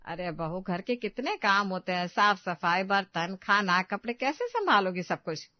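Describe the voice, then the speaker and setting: A woman's voice: medium pitch, animated, moderate pace, dry recording, highs cut off, lightly acted. A woman voicing an older Indian mother-in-law, fond but fretful, exclaiming and questioning in a family story. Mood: concerned. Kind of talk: Hindi family story acting.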